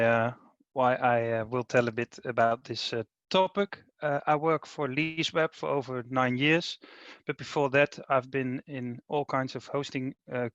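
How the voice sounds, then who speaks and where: A man's voice: mid-range voice, fairly bright tone, no echo. Dutch guy giving a webinar talk, lots of ums, kinda muffled dry sound. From Netherlands. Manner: hesitant, many ums and uhs. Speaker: managing director presenting. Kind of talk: webinar presentation.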